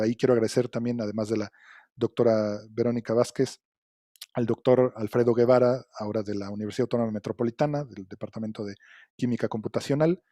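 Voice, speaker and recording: Middle-aged male lecturer, dark mellow tone, deliberate explanatory delivery, dry sound. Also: medium pitch, no echo. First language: Spanish. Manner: measured, explanatory, with frequent fillers and "¿no?" tags. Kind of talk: academic lecture.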